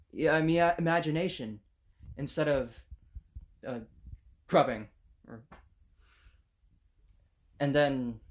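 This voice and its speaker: An earnest, idealistic male student acted out by a man speaks in a dramatic monologue. He is slow and hesitant, often searching for his words, with a mid-range voice. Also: mid-range voice, a little mellow, close to an everyday voice. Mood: thoughtful, halting. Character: earnest, idealistic, tongue-tied student recalling his teacher.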